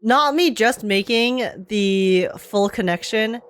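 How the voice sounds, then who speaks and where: A young woman streamer giving casual, animated reaction commentary on a game, slangy and thinking aloud. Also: medium pitch, slow pace, clean tone. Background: game music.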